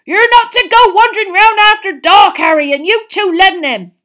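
A woman voicing a big, gruff, drunken gamekeeper in an audiobook, miserable and hot-tempered, with thick, growling, rustic speech. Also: a little high, normal speed, pitched above the performer's own voice, close to an everyday voice. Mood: angry, roaring. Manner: rustic, colloquial, emphatic speech.

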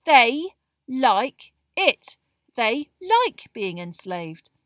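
A woman reader acts out an excitable teenage boy from a storybook. He is blunt, loud and disbelieving, with animated pitch in a dry recording. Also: fairly quick, dry recording. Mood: loud, exasperated. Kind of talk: acted audiobook dialogue.

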